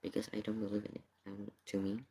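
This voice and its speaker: A streamer giving casual, halting reaction commentary in drawn-out phrases. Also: low-pitched, completely flat delivery, gravelly, mellow, husky.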